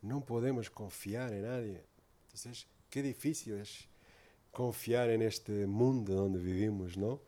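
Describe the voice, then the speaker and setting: Man, Portuguese accent, preacher, low voice, earnest informal sermon, often asks "right?". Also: slow, mellow. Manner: earnest, many tag questions.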